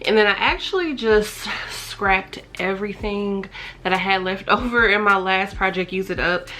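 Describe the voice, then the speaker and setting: A woman in her thirties talks straight to camera, her voice congested and a touch raspy from a cold. She chats eagerly about scents and lotions she has used up, recorded close on a compact camera's built-in mic in a small bedroom. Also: mid-range voice, moderate pace, bright, forceful tone, slight background noise. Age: 30s. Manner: chatty, enthusiastic about scents. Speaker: beauty and fragrance vlogger. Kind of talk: casual product review to camera. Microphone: compact camera's built-in mic at close range.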